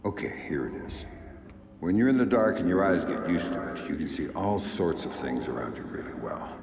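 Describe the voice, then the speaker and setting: A middle-aged man with an American accent playing a gruff covert agent and tender father in a video game, gravelly, measured and quiet. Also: low-pitched, sing-song, roomy sound, muffled recording, background noise. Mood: soothing.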